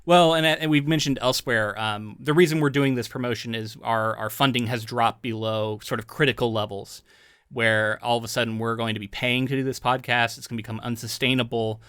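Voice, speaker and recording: A man's voice: medium pitch, brisk, smooth, no echo, studio quality. A man co-hosting a podcast, sounding a little congested, chatting casually with lots of ums and restarts. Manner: rambling, animated, frequent ums and restarts. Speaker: podcast co-host.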